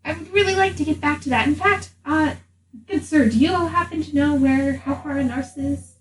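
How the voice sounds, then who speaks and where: A woman's voice: high-pitched, moderate pace, close to an everyday voice. A woman playing a proud, easily exasperated retired seer and storyteller in a tabletop game, speaking emphatically and indignantly. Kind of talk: improvised tabletop roleplay in character. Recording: echoey room, distant, faint noise.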